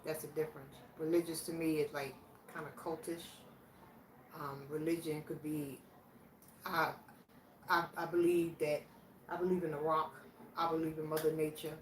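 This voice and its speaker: Vlogger, woman in her 60s, slightly low voice, unhurried and plainspoken; distant mic, faint hiss. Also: level tone. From Virginia, USA. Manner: plainspoken, slow and reflective, answering questions aloud. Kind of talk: casual question challenge. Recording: echoey room, voice from a distance, faint background noise.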